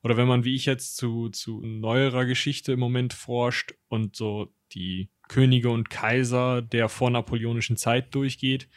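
A man's voice: medium pitch, fairly even intonation, unhurried, few pauses, no room sound. Man chatting casually, dry close sound. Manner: colloquial, slangy, jokey asides. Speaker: history podcast co-host. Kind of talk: casual conversational history discussion.

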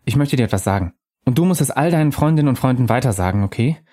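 An elusive female bar ghost, voiced by a male reader, speaking high and soft with earnest insistence, as in a storybook reading. Her tone stays calm and wistful, yet it keeps pressing the listener. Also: fast, lightly acted. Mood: urgent.

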